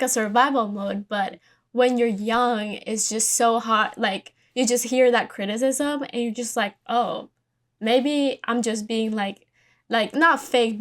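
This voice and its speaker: Podcast host, a woman with a Colombian accent and a native Spanish speaker, with a fairly high voice. Conversational and searching. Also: a little slow. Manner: reflective, with many fillers and restarts. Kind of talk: casual interview chat.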